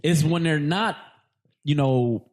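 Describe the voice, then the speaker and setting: A man's voice: fairly high pitch, takes it slowly, a little mellow. A young man on a podcast panel, chatting casually with lots of slang and checking that listeners agree. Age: young adult. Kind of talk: casual chat. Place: studio.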